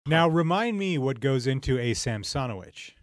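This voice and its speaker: A man's voice: mid-range voice, very animated, normal speed, no echo, studio quality. A man hosting a podcast in casual interview chat. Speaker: podcast host.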